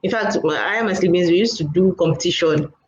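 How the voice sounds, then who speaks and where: A woman's voice: lowish voice, fairly quick, a little mellow, highs cut off. Hesitant Nigerian-raised woman, echoey room. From Lagos State, Nigeria. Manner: hesitant, many fillers and laughs. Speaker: guest, author and writing coach. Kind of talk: casual interview chat. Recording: echoey room, faint background noise.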